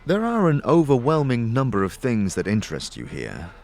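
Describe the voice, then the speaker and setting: A man's voice: medium pitch, huge pitch swings, moderate pace, a little mellow, faint music behind. A man narrating a fantasy audio drama in a dramatic, storytelling style. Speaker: narrator, game-story performer.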